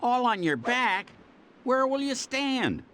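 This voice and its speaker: A man's voice: very high-pitched, big pitch swings, takes it slowly, sharp tone, a little hiss or hum, full character voice. A man voicing an exasperated, sarcastic superhero sidekick in a cartoon video game, griping sardonically. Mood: incredulous.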